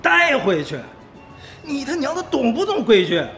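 A hot-tempered petty tomb robber in a radio drama. His voice is very high and leaps about wildly, snappy and scornful. Music plays behind him. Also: sing-song, normal speed, gravelly, fairly bright tone, heavily acted. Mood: threatening. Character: brash, hot-tempered small-time tomb-robber thug. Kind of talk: Chinese radio drama acting.

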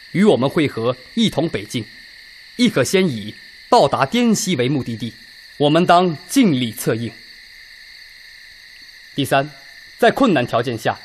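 A man playing an earnest, resolute Red Army commander in a radio drama, speaking in a measured, declamatory way. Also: a little high, slight background noise. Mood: formal, firm. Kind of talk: Chinese radio drama acting.

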